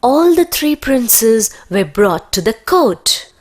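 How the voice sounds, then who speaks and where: A woman narrating a scripted children's story as the storyteller of an animated video. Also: fairly high pitch, slow pace.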